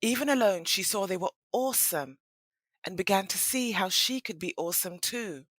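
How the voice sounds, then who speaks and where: A woman narrating a scripted audio play in an expressive, dramatic storytelling manner. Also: mid-range voice, normal speed, no echo.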